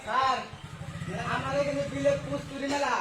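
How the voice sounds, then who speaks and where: A man's voice: very high voice, level tone. A man playing a respectful villager making an insistent complaint in an amateur comic skit, through a voice changer. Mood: insistent, complaining. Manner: hurried, insistent appeal. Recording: echoey, distant, noisy surroundings.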